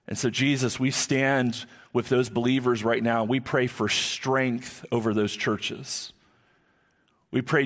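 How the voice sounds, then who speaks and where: A male pastor with a booming voice, preaching a sermon and leading prayer in a church on a live microphone, earnest and repeating key phrases for emphasis. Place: church sanctuary. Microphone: live amplified microphone.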